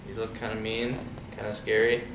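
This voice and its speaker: A man reading a picture book aloud to children in an expressive, conversational way, often turning to ask them questions. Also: fairly low pitch, moderate pace. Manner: conversational, checks in with young listeners using questions. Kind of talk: expressive storybook read-aloud with casual asides. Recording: roomy, distant mic with clear background noise.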